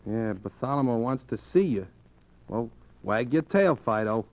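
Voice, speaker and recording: A man's voice: medium pitch, expressive, unhurried, no echo, low-quality recording. A man playing a wisecracking, cagey American office clerk in an old-time radio drama, with slangy, wry delivery. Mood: dry, needling.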